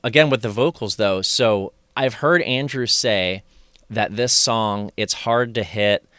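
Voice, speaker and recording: A man's voice: lowish voice, measured pace, sharp tone, clean tone, dry recording, clean studio sound. A man hosting a music podcast, chatting casually, affirming his guest and asking thoughtful questions. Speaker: podcast host. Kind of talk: casual interview chat.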